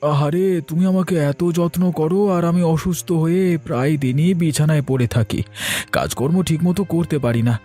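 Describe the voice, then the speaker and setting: Man acting poor ailing husband, plaintive, self-blaming, mellow rough-edged voice, cartoon fairy tale, faint music. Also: a little high, normal speed, natural voice. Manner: plaintive, weary, apologetic.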